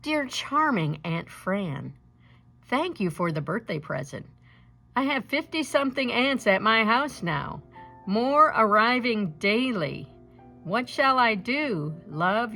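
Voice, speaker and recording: Earnest storybook boy, a little overwhelmed read by a woman, deliberate phrasing with animated pitch. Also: soft background music, full character voice. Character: earnest, bug-loving young boy overwhelmed by relatives.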